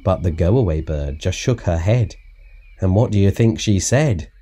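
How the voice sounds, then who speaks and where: A man reading a children's audiobook as narrator, in an expressive, measured read-aloud style. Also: sits low. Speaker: storybook narrator.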